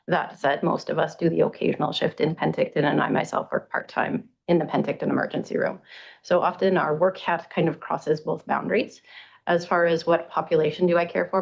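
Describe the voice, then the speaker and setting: A woman's voice: sits low, vocal fry, husky, rough recording. A Canadian woman family doctor answering council questions in a methodical way. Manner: methodical, self-correcting. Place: council chamber.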